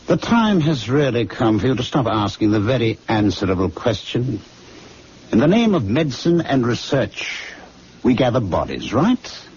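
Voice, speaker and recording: A man's voice: mid-range voice, very animated, normal speed, a little mellow, close to an everyday voice. A man playing a worldly, cynical senior medical student in an old radio drama, commanding and sardonic in a theatrical way. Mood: cynical, menacing. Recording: dull, noisy old radio recording.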